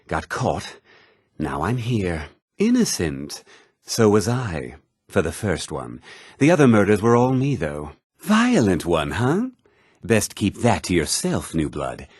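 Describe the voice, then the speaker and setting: Weary convicted killer in a fantasy game: low, husky, brooding. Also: male voice, dry recording, clean studio sound, full character voice. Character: weary, brooding imprisoned murderer in a mine. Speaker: video game voice actor. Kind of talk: fantasy role-playing game dialogue.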